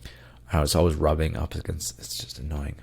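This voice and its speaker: A man's voice: a bass voice, little pitch movement, fairly quick, creaky, slightly rough. A man hosting a casual tech news podcast, congested and tired, with plenty of ums and uhs and loose rambling asides. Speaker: podcast co-host.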